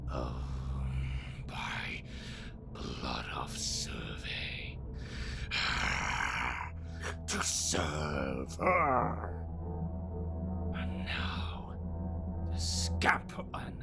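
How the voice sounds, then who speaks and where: Slow, creaky, bitter immortal spirit. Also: extremely low pitch, sing-song, full character voice. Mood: resentful. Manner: theatrical, slow, drifting into whispers and sighs. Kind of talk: dramatic audio roleplay monologue. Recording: music under the voice. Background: music.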